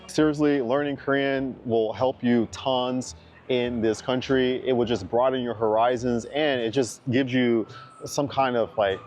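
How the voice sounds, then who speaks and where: A man's voice: medium pitch, a little mellow, slight background noise. A man in his thirties from the United States, an English teacher talking casually to camera, chatty and repeating words for emphasis. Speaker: vlogger.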